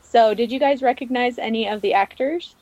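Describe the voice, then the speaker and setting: A woman's voice: a little high, a little fast, a little mellow, no echo, rough recording. A woman co-hosting a podcast, casually talking over a television episode. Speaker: podcast co-host.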